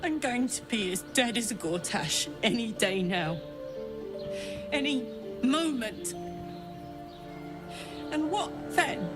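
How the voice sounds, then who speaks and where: Video game warrior woman, dying, raw and emotional, slow delivery, highish voice, music behind. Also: animated. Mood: drained, bitter, then anguished rage. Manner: raw, heartfelt, swearing. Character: dying warrior woman, passionate, brash, tender, grieving.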